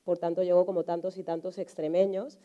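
Lower-pitched, mellow Madrid woman. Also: flat delivery, a little fast, muffled recording. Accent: Madrid Spanish. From Spain, Madrid area. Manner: explanatory, frequent rhetorical tags seeking agreement. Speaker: journalist, panel speaker. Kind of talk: conversational panel discussion. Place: indoor auditorium stage, seated on a panel.

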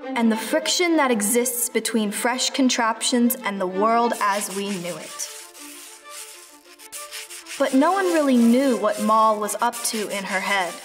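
A woman playing the theatrical, measured storybook narrator of a quirky radio play. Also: on the high side, soft background music, natural voice. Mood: wry, storytelling.

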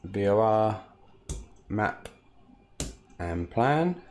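A man from the United Kingdom, a home cockpit builder, calmly walking through his hobby build with frequent "uh" fillers. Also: lowish voice, slight background noise. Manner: calm, unhurried, frequent "uh" fillers. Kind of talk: casual hobby walkthrough.

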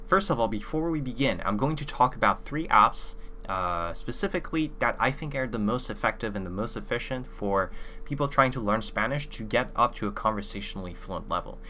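Bright-voiced young man. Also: medium pitch, animated, brisk, slight background noise. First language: French. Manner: loose, filler-heavy, with many "like" and "you know". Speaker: language-learning YouTuber. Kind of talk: casual review to camera. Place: small room indoors.

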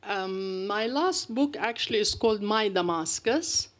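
Older palestinian woman telling stories in a lecture, lively, gesturing a lot at the podium mic. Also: mid-range voice, unhurried. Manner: anecdotal and animated, with gestures. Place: lecture hall. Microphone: podium microphone.

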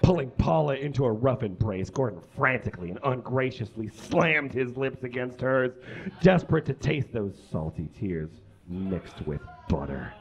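A man's voice: medium pitch, lively intonation, moderate pace. A young man reading aloud theatrically on a small stage, emphatic and sometimes tripping over his words. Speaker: live reader on stage. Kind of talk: comic dramatic reading of fan fiction to an audience. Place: small indoor stage with curtains. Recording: slightly muffled live stage recording.

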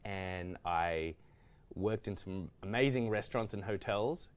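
A man's voice: lowish voice, unhurried, clear, resonant tone. A man, a guest chef telling his story in a studio kitchen, with lots of fillers and restarts. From Australia. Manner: rambling, many restarts and fillers. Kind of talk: casual studio interview.

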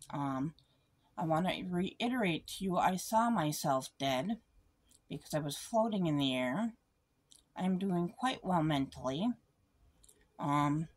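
A middle-aged woman speaking in a polite, deliberate, earnest way, as if giving a formal statement or phone message. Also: lowish voice. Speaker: vlogger making a phone call. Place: small room indoors.

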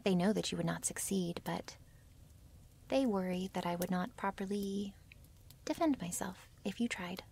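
Werewolf girl played by a woman, ASMR roleplay, intimate half-whisper, breathy, vocal fry, wary, possessive, halting formal phrasing. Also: mid-range voice, a little mellow. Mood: uneasy, guarded. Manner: halting, stiffly formal phrasing without contractions. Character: wary, possessive young female werewolf, tender yet menacing.

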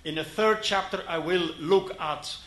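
A Flemish-accented man in his fifties presents at a conference podium, with a fairly high and bright voice. He talks haltingly and often restarts his phrases. The hall around him rings with echo. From Belgium. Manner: halting, many fillers and restarts. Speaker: conference speaker. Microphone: podium microphone.

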